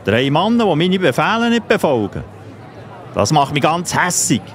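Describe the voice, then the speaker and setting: A man's voice: on the high side, big pitch swings, moderate pace, some chatter behind, a little hiss or hum. An actor playing an imperious, hot-tempered king in a children's audio play, with a Swiss accent and a theatrical, commanding manner. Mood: indignant, commanding.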